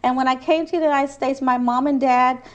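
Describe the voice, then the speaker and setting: A woman's voice: high voice, steady tone, fairly quick, muffled recording. A woman from Trinidad, a city council candidate, answering interview questions in an earnest, persuasive way with frequent restarts.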